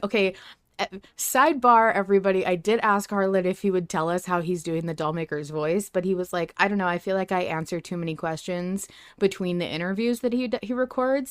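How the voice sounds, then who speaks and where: A woman's voice: lowish voice, clean tone, no echo, clean studio sound. A woman hosting a casual fan podcast, chatting with joking, mock-stern banter. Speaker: podcast host.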